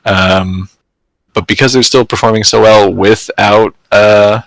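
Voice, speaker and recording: Male podcast co-host, low dry voice, hesitant chatty football talk. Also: steady tone, unhurried, gravelly, no echo. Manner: hesitant, trails off with fillers and laughs. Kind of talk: casual sports chat.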